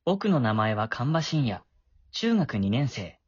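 A man's voice: medium pitch, sing-song, slow pace, breathy edge, no echo, highs cut off, full character voice. A man acting a shy, awkward middle-school boy with a crush in an amateur voice drama, flustered and prone to stammering. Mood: wistful narration.

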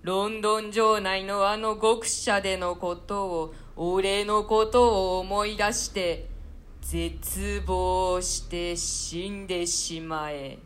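A woman voicing the ghost of an old murdered king in a radio play reading, speaking slowly and solemnly. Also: medium pitch, steady tone, a bit bright. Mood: cursing. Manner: slow, solemn delivery.

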